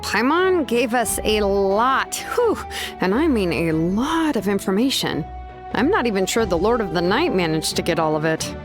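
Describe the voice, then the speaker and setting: A woman's voice: medium pitch, expressive, normal speed, natural voice. An actress playing a laid-back, matter-of-fact young craftswoman in a video game, speaking casually and reassuringly. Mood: weary, amazed. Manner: casual, hesitant asides, reassuring. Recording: loud music behind the voice. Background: game music.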